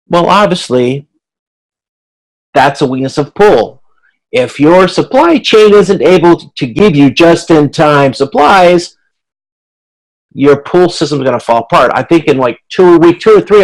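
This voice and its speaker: Male instructor, mid-pitched voice, conversational online lecture delivery, informal and explanatory. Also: poor audio. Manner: informal, explanatory, checks understanding. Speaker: instructor leading an online class. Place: online video conference.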